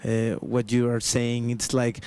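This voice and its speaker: A man with a Mexican accent, a native Spanish speaker, hosting a casual music interview in halting English phrasing. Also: medium pitch, monotone, a little mellow, clean tone. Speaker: show host, interviewer.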